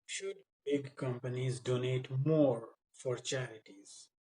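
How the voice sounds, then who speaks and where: A man tutors spoken English in a mock exam setting. His voice sits at a middle pitch. He is blunt and corrective, pressing his points insistently. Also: slow pace, mellow, poor audio. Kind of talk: mock speaking test with coaching.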